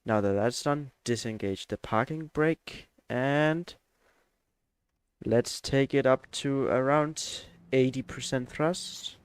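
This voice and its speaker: A man's voice: medium pitch, slow pace, clear, resonant tone, no room sound. A man from Denmark, chatting hesitantly with many fillers while streaming a flight simulator. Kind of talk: live stream gameplay chat.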